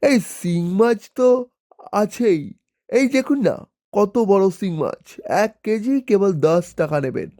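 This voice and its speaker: A man voicing a blunt, excitable village fishmonger in a cartoon, quick to take offence. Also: sits high, no room sound, studio quality. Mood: eager selling. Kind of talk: cartoon dialogue.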